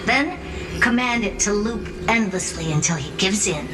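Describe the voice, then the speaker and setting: An actress voicing a poised, blunt genius strategist in a video game, speaking in a measured, authoritative, analytical way. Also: female voice, mid-range voice, animated, lightly acted. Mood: confident, calculating. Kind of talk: scripted video game story dialogue. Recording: echoey, distant, under loud music.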